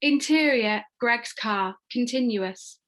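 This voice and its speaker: A woman's voice: on the high side, slow pace. A woman giving scripted narration, reading out screenplay stage directions. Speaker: stage-direction reader and host.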